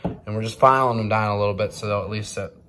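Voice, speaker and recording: Low-voiced young man narrating shop work. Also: quick pace, background noise. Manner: relaxed, filler-heavy explaining. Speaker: DIY video co-host. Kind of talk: casual how-to narration. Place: cold wood shop shed.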